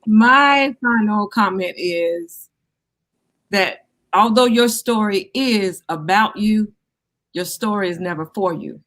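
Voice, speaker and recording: A woman giving sincere conversational remarks as a show guest, in a measured, encouraging way. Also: medium pitch, a bit bright. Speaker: cast member, show guest.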